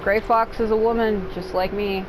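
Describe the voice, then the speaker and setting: A woman's voice: mid-range voice, steady tone, moderate pace, noisy background. A woman gaming streamer giving casual, blunt commentary peppered with swearing, as she plays.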